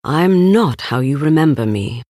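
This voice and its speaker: A woman acts out a stern, anxious storybook man, frowny and exasperated. The delivery swings widely in pitch and sounds dry and close. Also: lively intonation, measured pace, mellow, no room sound. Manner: serious, exasperated. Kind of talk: children's storybook read-aloud.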